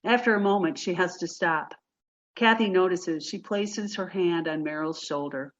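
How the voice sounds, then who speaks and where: A woman's voice: on the low side, normal speed, highs cut off. A woman reading stage directions as the narrator of a play, in scripted narration.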